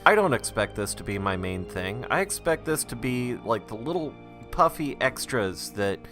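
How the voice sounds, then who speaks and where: A man's voice: medium pitch, sing-song, moderate pace. A man speaking in a casual, self-deprecating ramble, like an audio diary for his channel. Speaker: YouTube creator recording an audio diary. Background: music.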